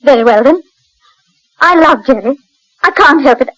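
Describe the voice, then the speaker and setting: A woman's voice: sits high, lively intonation, normal speed, fairly bright tone, no room sound, poor audio. An actress playing an agitated, defiant young woman in love, in a 1940s radio drama. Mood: confessing, insistent. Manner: agitated, insistent.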